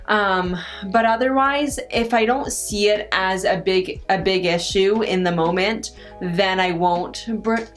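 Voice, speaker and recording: Young woman vlogger, casual Q&A, noticeable stutter with repeated first sounds, slow deliberate delivery, faint guitar music underneath. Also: mid-range voice. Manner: halting, many restarts and pauses. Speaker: vlogger answering viewer questions. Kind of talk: casual Q&A chat.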